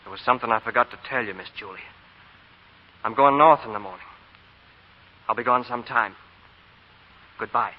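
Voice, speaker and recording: Man acting a stern, formal gentleman. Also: mid-range voice, normal speed, long pauses, slight background noise, natural voice. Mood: bitter resolve. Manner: formal, controlled, commanding. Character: stern, icily courteous Southern gentleman, proud and unbending. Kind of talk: 1940s radio drama acting. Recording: muffled 1940 radio broadcast, music behind.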